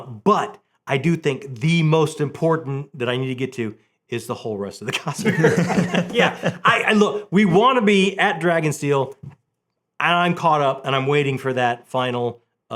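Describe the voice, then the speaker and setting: A man hosts a book review video in a loose, conversational way. His voice sits at a medium pitch. He tells things like an animated storyteller, often repeating a phrase to drive it home. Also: moderate pace. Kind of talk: casual conversational book chat.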